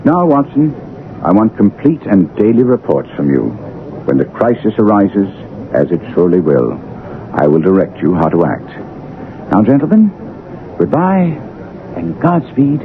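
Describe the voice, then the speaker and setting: A shrewd gentleman detective of radio drama, very low in pitch yet lively in his swings. He is formal, precise and used to command, on a narrow, tinny recording. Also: unhurried, long pauses, exaggerated voice. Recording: tinny old radio recording, faint noise.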